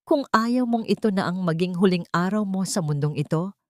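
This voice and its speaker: A woman's voice: on the low side, lively intonation, normal speed, no echo, studio quality, lightly acted. A woman voicing a fierce, anguished adult son, a wealthy businessman, with an intense and commanding manner. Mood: threatening.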